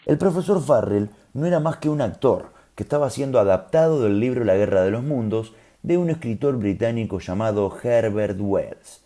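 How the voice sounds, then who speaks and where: A man's voice: medium pitch, animated, normal speed, few pauses, dark tone. A man with a Rioplatense Argentine accent tells a scripted story in a conversational, explanatory way, with colloquial asides. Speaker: storyteller host.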